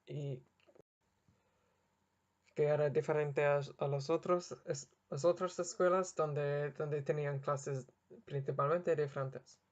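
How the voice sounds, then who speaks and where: Slow, halting learner with a flat voice. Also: medium pitch, highs cut off. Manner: halting, searching for words in a learned language; apologetic about own mistakes. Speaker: language learner vlogger. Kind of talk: unscripted spoken monologue to camera.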